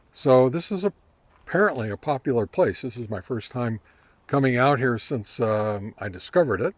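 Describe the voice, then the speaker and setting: A man narrating a casual product test as a review host, talking step by step in a halting way. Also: medium pitch, darkish tone. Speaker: host of a ham radio gear review channel.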